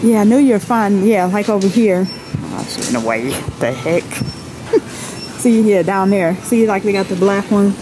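A woman's voice: medium pitch, expressive, a little slow, music in the background, poor audio. A woman vlogging a shopping trip with her daughter in a large store, casual and easygoing, often laughing, recorded on a handheld phone. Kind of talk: casual chat while filming. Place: large indoor retail store. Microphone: handheld phone camera.

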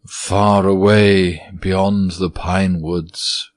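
A man reading aloud, voicing an old withered male ghost who speaks in a low, dreamy, mournful way. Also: flat delivery, very slow.

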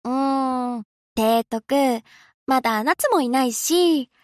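Anime game navy girl: high and slow-paced, casual slangy delivery, laid-back but dutiful. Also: dry recording, clean studio sound. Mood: breezy, playful. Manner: casual slangy girlish speech. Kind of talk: Japanese anime game character voice lines.

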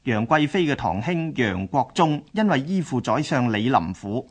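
A man's voice: mid-range voice, big pitch swings, normal speed, husky. A man with a Hong Kong Cantonese accent reading scripted historical narration.

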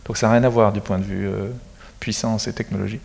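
A middle-aged man with a fairly low voice explains technical matters in a matter-of-fact way. He speaks into a handheld mic on a studio set. A long reverb trails his words, as in a big hall. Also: level tone, quick pace, vocal fry, a little mellow. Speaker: space agency expert guest. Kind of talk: studio interview.

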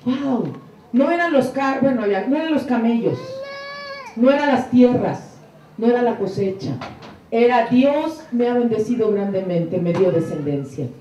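A middle-aged woman giving an inspirational church talk on a handheld mic, fervent, moving between hushed prayerful passages and soaring emphatic lines. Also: fairly high pitch, lively intonation, normal speed, muffled recording, slight background noise. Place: room with curtained wall, indoors. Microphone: handheld mic, filmed from a distance. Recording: echoey room, camera far off.

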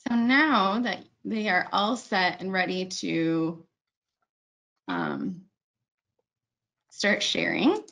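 A woman's voice: fairly low pitch, very animated, very slow, vocal fry, pauses often, fairly bright tone, low-quality recording. A woman walking viewers through a website in a webinar, chatty and correcting herself often. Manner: chatty, self-correcting, many ums. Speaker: webinar presenter. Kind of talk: screen-share webinar walkthrough.

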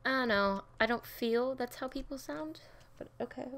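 A woman's voice: high-pitched, moderate pace, a bit bright, slight background noise. A young woman chatting casually while playing a game, giggly and rambling, with keyboard typing nearby. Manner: chatty, giggly, jumps into silly voices and snatches of song. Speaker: gaming streamer. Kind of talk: casual gameplay commentary.

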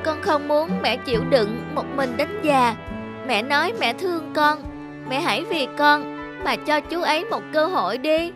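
A young cartoon schoolboy with a high voice. He is devoted and thoughtful, speaking politely and with imploring earnestness. Music runs behind him. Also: expressive, measured pace. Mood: pleading. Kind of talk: animated moral drama acting.

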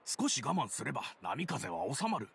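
An actor playing a weary, cautious older male business partner in a game, speaking in a measured, admonishing way. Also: medium pitch, wildly swooping pitch, vocal fry, a little hiss or hum. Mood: admonishing, resigned. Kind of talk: Japanese game voice acting.

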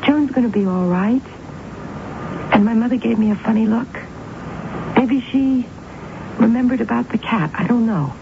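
A woman's voice: a little high, vocal fry. An actress playing a young woman convinced of her psychic powers in a 1970s radio drama, grandiose and self-absorbed, with dramatic, emphatic delivery. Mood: confiding. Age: young adult. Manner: dramatic, emphatic, deliberate repetitions. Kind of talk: 1970s radio drama acting, dialogue and confiding narration. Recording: tinny, noisy 1970s radio broadcast recording.

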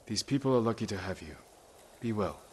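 An actor playing an honourable samurai lord in a game, stoic and solemn, with restrained, measured speech. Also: male voice, on the low side, animated, darkish tone, slight background noise, natural voice. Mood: calm. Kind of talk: dramatic game acting.

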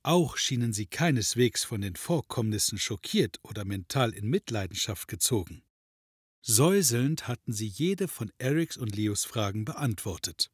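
An actor playing the wry narrator of a detective comedy audio drama, reading scripted narration. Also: male voice, fairly low pitch, animated, normal speed, husky, no room sound, studio quality. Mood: dryly ironic.

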